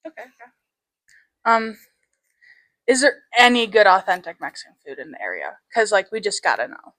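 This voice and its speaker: A teenage girl working as a student reporter, asking brisk, cheerful interview questions. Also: medium pitch, big pitch swings, fairly bright tone, no echo, poor audio. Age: teens.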